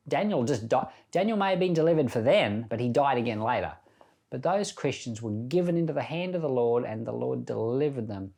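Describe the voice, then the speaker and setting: A man's voice: mid-range voice, a little mellow. A man speaking as a pastor, giving informal Bible teaching in a reflective, conversational storytelling manner.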